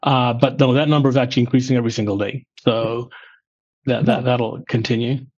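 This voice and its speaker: A man's voice: medium pitch, flat delivery, darkish tone. Middle-aged man with slight Midwestern accent, muffled. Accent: American, slightly Midwestern. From England. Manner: measured, explanatory, frequent "uh" fillers. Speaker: guest, former finance chief. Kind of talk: podcast interview.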